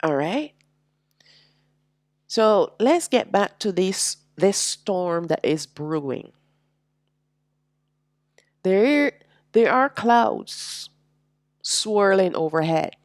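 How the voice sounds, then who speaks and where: A woman teaching a slow, reflective sermon, sounding nasal and congested from allergies, with drawn-out, emphatic phrasing. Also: fairly low pitch, big pitch swings, no room sound, clean studio sound. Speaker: religious teacher.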